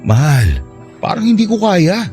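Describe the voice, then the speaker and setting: A man's voice: high voice, huge pitch swings, a little slow, a little mellow, faint music behind, heavily acted. An actor with a Filipino accent playing a devoted but reluctant husband, earnest as he talks with his wife in a radio drama. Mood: reluctant, uneasy. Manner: protesting, earnest.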